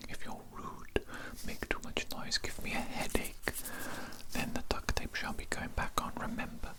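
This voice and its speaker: A man's voice: lightly acted, poor audio. A man voicing an evil cannibal doctor in a whispered ASMR roleplay, formal and politely domineering, repeating himself as he asserts control. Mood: smug, menacing. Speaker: ASMR performer.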